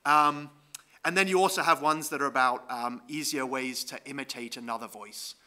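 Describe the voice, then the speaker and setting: A man's voice: mid-range voice, moderate pace, pushed and bright. A man giving a conference talk over slides, explaining step by step with frequent ums and "right?" checks. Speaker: conference presenter.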